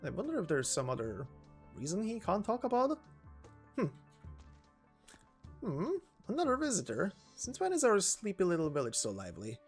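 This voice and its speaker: A man's voice: high-pitched, wildly swooping pitch, normal speed, long pauses, husky, faint music behind, heavily acted. A man voicing a curious, chatty villager from a sleepy backwater in a game story, musing aloud and greeting politely. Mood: wondering, friendly.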